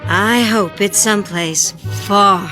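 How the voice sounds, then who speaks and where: A woman's voice: mid-range voice, slow, music in the background, close to an everyday voice, rough recording. A woman acting as a stubborn, folksy farm wife, an injured creature in a suspense radio drama. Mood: relieved, tender. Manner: folksy, plainspoken, rustic phrasing. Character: stubborn, frightened, injured female alien farm wife with two heads.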